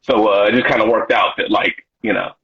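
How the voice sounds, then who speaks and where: A man's voice: lowish voice, steady tone, fairly quick, breathy edge. A man in his thirties from Washington, D.C., a stand-up comedian telling stories as a guest in a casual interview, slangy and loose, with lots of "you know". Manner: laid-back slangy storytelling with frequent "you know". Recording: dull lo-fi audio.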